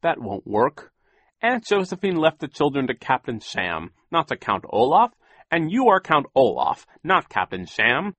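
Man as a stern, pompous, forceful gentleman. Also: medium pitch, sing-song, measured pace, no room sound, voice pushed up. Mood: firm, reproving. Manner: stern, emphatic, pompous scolding. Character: stern, fussy, indignant gentleman banker in authority. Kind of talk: audiobook character voice.

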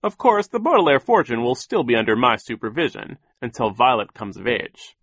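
A man's voice: medium pitch, very animated, fairly quick, a bit bright, no room sound, pitched above the performer's own voice. A man playing a proper, fussy banker. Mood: officious. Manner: formal, explanatory. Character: proper, fussy male banker, earnest and oblivious.

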